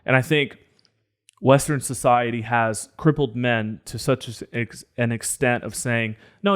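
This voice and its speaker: A young man co-hosting a podcast, talking in an earnest, preachy, motivational way. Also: mid-range voice. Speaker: podcast co-host. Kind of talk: casual podcast discussion.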